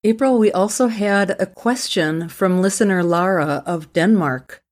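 A woman's voice: mid-range voice, measured pace, a little mellow. A woman with a Minnesotan accent co-hosting a podcast, friendly and leading the segments. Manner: friendly, leads the segments and reads out the details. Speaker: podcast co-host. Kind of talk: podcast chat.

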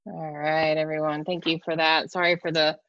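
Woman host on a video call, low and gravelly, chatty and easy. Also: flat delivery, normal speed, no room sound, dull audio. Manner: chatty, laughs often, soft-spoken. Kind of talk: casual chat, opening with a guided meditation.

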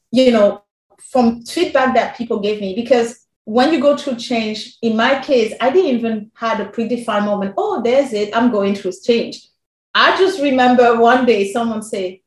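A woman with a non-native accent tells her own story as a podcast guest, lively and laughing often as she acts out past conversations. Her voice sits fairly high. The room around her has a roomy echo. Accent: non-native English accent. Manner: animated, laughs often, quotes herself. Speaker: working mother. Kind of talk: candid personal storytelling.

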